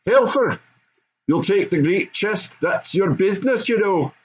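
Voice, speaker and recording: A man voicing a gruff, practical goblin father, proud of his kind, with a rough edge. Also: high voice, expressive, unhurried, highs cut off, slight background noise, voice pushed up. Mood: practical, bossy.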